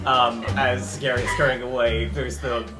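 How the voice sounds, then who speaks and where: A mid-pitched speaker narrates a fantasy game casually. The delivery is improvised and informal. Music plays clearly behind. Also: little pitch movement, measured pace. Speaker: player on a roleplay podcast.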